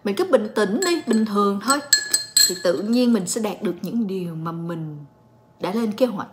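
A woman in her late twenties chatting calmly and directly to viewers in a casual home vlog. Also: medium pitch, moderate pace, slight background noise. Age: late 20s. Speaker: vlogger and story reader. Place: living room at home.

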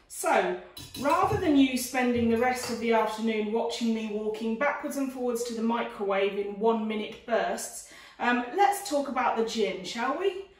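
Woman in her forties, chatty home cookery presenter, fairly high voice, picked up from a distance. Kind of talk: informal cookery demonstration to camera. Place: domestic dining room, indoors. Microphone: camera a few steps away. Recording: roomy, distant camera microphone.

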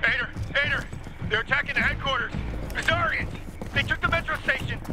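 An actor playing a panicked soldier raising the alarm in a video game. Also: male voice, extremely high pitch, brisk. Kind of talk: video game dialogue acting. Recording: loud game music behind.

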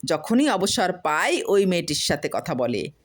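A woman reading a humorous folk story as scripted narration, in an expressive, literary storytelling manner. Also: fairly low pitch, a little slow.

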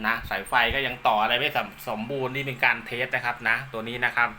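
A man's voice: medium pitch, steady tone, fast, pushed and bright, slight background noise. A man giving a casual hands-on demonstration as a radio hobbyist, recorded indoors at home. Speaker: amateur radio hobbyist presenting his own video.

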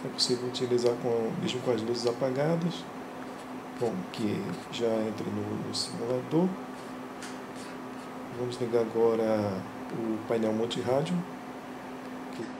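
A man calmly and methodically walking through his home flight simulator, recorded on a camera in a small room. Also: mid-range voice, measured pace, long pauses. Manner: calm, methodical, pointing things out. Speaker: flight sim hobbyist. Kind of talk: casual show-and-tell narration. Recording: roomy, with loud fan and engine noise.

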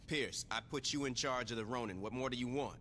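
A man's voice: mid-range voice, brisk, a bit bright, big-room sound, slight background noise, close to an everyday voice. Man playing a blunt, cocky gang leader. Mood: blunt, dismissive. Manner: blunt, slangy, dismissive. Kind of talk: video game cutscene acting.

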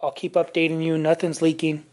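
A man casually talking through motorcycle parts in his home garage, rambling with many pauses and fillers, recorded on a handheld camera. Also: fairly high pitch, completely flat delivery, a little mellow. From California. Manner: rambling, many ums and restarts. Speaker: motorcycle vlogger. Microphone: handheld camera mic, off-screen.

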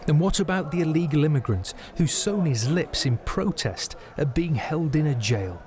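A man's voice: on the high side, expressive, moderate pace, a little mellow. A man, a news reporter narrating a report and answering an anchor's questions. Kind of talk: scripted news narration and studio debrief.

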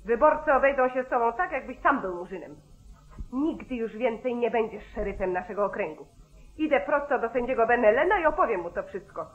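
A woman's voice: on the high side, animated, a little fast, natural voice. An actress playing an indignant, threatening woman who scolds emphatically in an old radio play. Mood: angry, threatening. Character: indignant, threatening woman voter confronting a sheriff. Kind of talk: mid-century Polish radio play acting. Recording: muffled, narrow-band 1940s radio recording.

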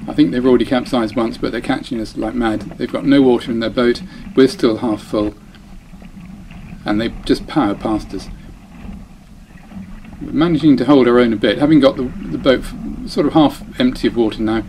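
Guy narrating his dinghy race, chatty, a bit self-deprecating, normal middle pitch, faint water noise behind. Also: moderate pace, a little mellow, low-quality recording. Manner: chatty, self-deprecating, breaks off mid-phrase. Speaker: dinghy racing sailor. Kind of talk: voice-over commentary on race footage.

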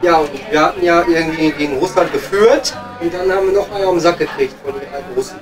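Guy doing a moany thirsty ruhr miner in a comedy audio play, highish voice, slangy, music going behind. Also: quick pace, natural voice. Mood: weary, matey. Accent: Ruhr German. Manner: grumbling, slangy, matey. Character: weary, thirsty, complaining Ruhr coal miner at the pub.

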